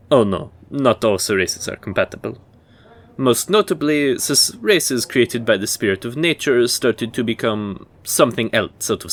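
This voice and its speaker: A man playing a measured, scholarly university professor who lectures formally and deliberately in a fantasy audio roleplay. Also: medium pitch, a bit bright. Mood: patient, dry. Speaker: professor giving a history lecture.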